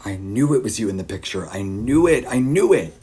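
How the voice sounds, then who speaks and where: A man playing a distraught, obsessive middle-aged father in an audio drama, haunted, halting and repeating himself. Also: lowish voice, very animated, mellow, slightly rough. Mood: shocked, urgent. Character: distraught obsessive father, a searcher recording his nightmare. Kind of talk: audio drama monologue and dialogue.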